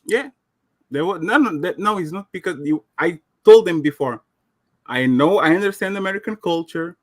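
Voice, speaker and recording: A man's voice: high voice, animated, moderate pace, dry recording. A man from Portugal with a Portuguese accent, calling in and arguing insistently in broken English. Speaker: caller. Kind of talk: heated call-in debate.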